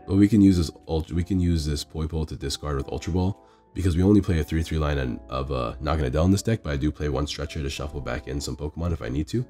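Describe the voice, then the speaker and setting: Hoarse man, very deep voice, quick self-correcting game commentary on a phone mic in a store. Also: warm tone. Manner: rambling, self-correcting strategy talk. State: hoarse, losing his voice from illness. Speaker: card game player commentating his match. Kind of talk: casual play-by-play commentary.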